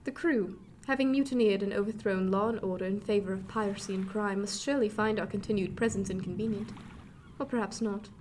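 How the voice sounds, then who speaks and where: A woman's voice: mid-range voice, brisk, darkish tone, natural voice. Woman doing a bitter sardonic old-time mother, formal and cutting, radio play, bit of noise behind. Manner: dry, cutting, formal period diction. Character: bitter, sardonic mother, an 18th-century lady passenger. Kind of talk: radio drama acting.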